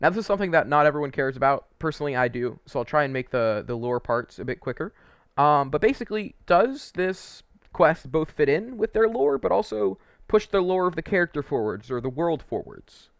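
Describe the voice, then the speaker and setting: A man's voice: fairly high pitch, big pitch swings, a little fast, gravelly, no echo. Casual man reviewing games, dry close sound. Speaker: video creator and host. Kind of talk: casual opinionated video game review commentary.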